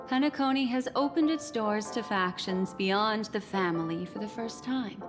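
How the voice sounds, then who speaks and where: Game role, actress as enigmatic female memory keeper: poised, teasing, reassuring, measured, mid-pitched, in a reverberant hall. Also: natural voice. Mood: assured, amused. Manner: measured, soothing, coy, formal phrasing. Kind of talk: video game voice acting.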